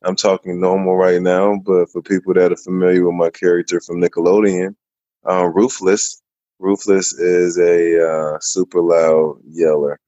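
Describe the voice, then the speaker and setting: A man answering a podcast interviewer's questions in an easygoing, measured way, pausing often as he thinks. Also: low-pitched, flat delivery, warm tone, no room sound, highs cut off. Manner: easygoing, measured, with frequent pauses. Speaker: podcast guest.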